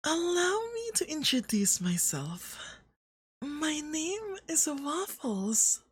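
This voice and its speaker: Someone doing a smug femboy god for asmr, high and swoopy, super full of himself, flirty and possessive, breathy. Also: sing-song, fairly bright tone, heavily acted. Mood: smug, boastful.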